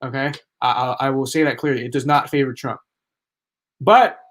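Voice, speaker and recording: A young man commenting on a live stream, talking in a rambling, emphatic way that repeats itself, his voice starting to go hoarse. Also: medium pitch, fairly even intonation, moderate pace, fairly bright tone. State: losing his voice. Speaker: livestream political commentator.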